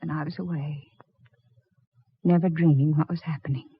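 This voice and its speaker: Bereaved widow, vintage radio chiller: anxious, melodramatic, breathy, on a thin phone-like recording. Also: female voice, deep voice, fairly even intonation, moderate pace. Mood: grieving. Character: grieving, anxious, devoted young-to-middle-aged widow. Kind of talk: 1940s radio horror acting.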